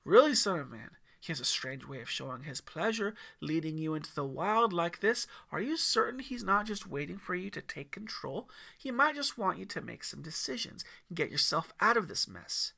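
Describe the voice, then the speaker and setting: A man's voice: high voice, sing-song, moderate pace, vocal fry, hardly any pauses, pushed and bright, voice pushed up, full character voice. A man voicing the devil Lucifer as a scornful, theatrical tempter in an audiobook story, mocking and drawing out his emphasis. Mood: sneering.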